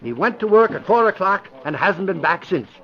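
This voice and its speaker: A man's voice: sits high, very animated, moderate pace. A man playing an eager, indignant newspaper reporter in an old-time radio mystery, chatty and emphatic. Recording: dull vintage radio recording.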